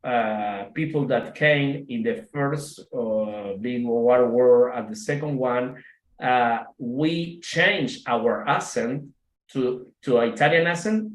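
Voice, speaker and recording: A man's voice: medium pitch, slow. A man from Argentina speaking English with an Argentine Spanish accent, chatting casually and searching for words with many fillers and restarts. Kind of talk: casual video call chat. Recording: echoey room.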